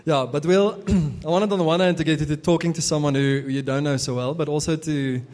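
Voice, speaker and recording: A man's voice: on the high side, steady tone, a little fast, a little mellow. A young adult man whose first language is Afrikaans, preaching a casual sermon as pastor, with many fillers and restarts.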